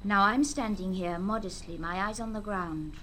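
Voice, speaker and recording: An actress playing a bold, bitter young stepdaughter in a stage drama, impatient, mocking and passionately theatrical. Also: female voice, mid-range voice, moderate pace, fairly bright tone, slight background noise, lightly acted, rough recording. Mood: impatient, commanding.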